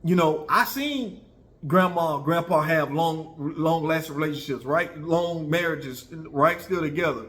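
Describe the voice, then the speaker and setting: A man's voice: a little high, measured pace, fairly bright tone. An American man in his early forties giving casual opinions to viewers, conversational, repeating himself for emphasis and often checking in with listeners. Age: early 40s. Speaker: online video commentator.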